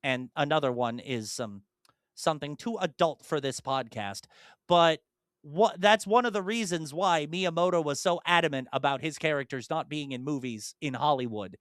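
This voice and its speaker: A young man co-hosts a casual podcast, talking eagerly and circling back through his thoughts. His voice is bright and crisp, a little higher than most men's. The sound is close and dry. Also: moderate pace, dry recording. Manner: enthusiastic, rambling with restarts. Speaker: podcast co-host. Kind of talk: casual chat.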